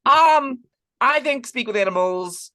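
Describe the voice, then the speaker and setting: A man co-hosting a casual tabletop game discussion, rambling in an animated way and slipping into acted-out asides. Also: very high-pitched, unhurried. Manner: animated, rambling, acts out short quips in asides. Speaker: co-host and tabletop game reviewer. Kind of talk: casual two-person discussion.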